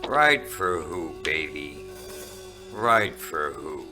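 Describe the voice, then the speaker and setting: A man playing a boozy, wisecracking hardboiled private detective in a parody noir radio drama, delivered deadpan. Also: extremely low pitch, very slow, heavily acted. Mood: world-weary. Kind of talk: parody noir radio drama, first-person narration and dialogue. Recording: very echoey, distant, music underneath.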